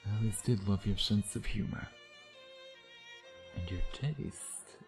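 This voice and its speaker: A man's voice: lowish voice, slow pace, a little mellow, soft background music. A man playing a suave, predatory vampire in an intimate ASMR roleplay, taunting in a sardonic, flirtatious way with murmured asides. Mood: sly, bargaining. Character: suave, teasing, predatory male vampire, playfully menacing.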